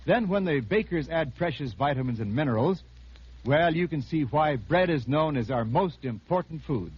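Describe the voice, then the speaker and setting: A man's voice: fairly high pitch, expressive, moderate pace, husky. A male announcer reading a 1950s American radio commercial in an earnest, persuasive, emphatic way. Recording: muffled vintage 1950s radio recording.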